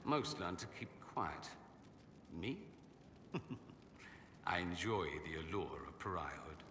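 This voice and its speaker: A suave, self-flattering noble mage for a fantasy game. His voice is very bright and crisp-edged, swooping theatrically with dry wit. It rings in a reverberant hall. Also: sits low, slow, a little hiss or hum, full character voice. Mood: wry, explaining. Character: witty, vain aristocratic male mage, sardonic rebel exile. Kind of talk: video game dialogue acting.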